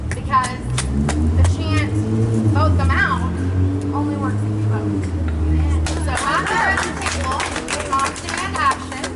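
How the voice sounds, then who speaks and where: A woman organizer at a protest rally, urging people directly and encouragingly to register to vote. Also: sits high. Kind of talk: public announcement at a rally. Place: outdoor rally. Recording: distant echoey outdoor recording with loud ambient noise.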